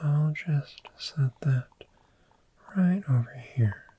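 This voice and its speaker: A performer voicing a friendly, soothing dragon in an ASMR roleplay, speaking in a gentle, breathy whisper and lingering on repeated words. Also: medium pitch, slow pace, a little hiss or hum, lightly acted. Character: friendly soothing dragon therapist showing a cozy hoard.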